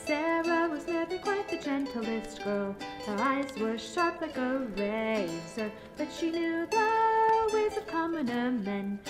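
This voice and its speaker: A woman's voice: high-pitched, sing-song, slow pace, few pauses, exaggerated voice. A young woman playing a traveling bard, singing folk ballads in a fantasy audio roleplay. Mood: storytelling, playful. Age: young adult. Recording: roomy echo, plucked instrument music behind.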